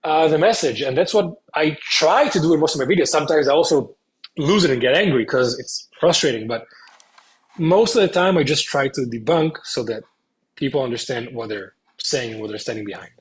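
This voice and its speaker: A young man from Spain, a guest in an interview, explaining things earnestly in a casual way and often pausing on "uh". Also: medium pitch, animated, slight background noise, poor audio. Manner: earnest, explaining, frequent hesitations. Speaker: guest, content creator.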